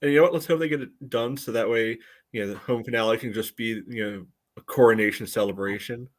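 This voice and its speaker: A man co-hosting a casual sports podcast, talking in a halting way peppered with verbal tics. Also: mid-range voice. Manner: halting, peppered with "you know". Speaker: podcast co-host. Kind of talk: casual sports commentary chat.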